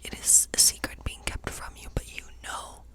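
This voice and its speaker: A woman whispering an ASMR tarot reading as a gentle witch, musing aloud in an unhurried way. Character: gentle fortune-telling witch.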